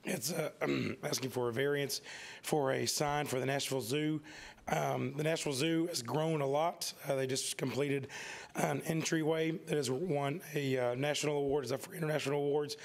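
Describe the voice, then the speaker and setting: Creaky man with a cold, halting. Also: on the high side, steady tone. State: has a cold, losing his voice. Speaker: district council member. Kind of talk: halting public remarks to a board. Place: indoor meeting room. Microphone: podium microphone.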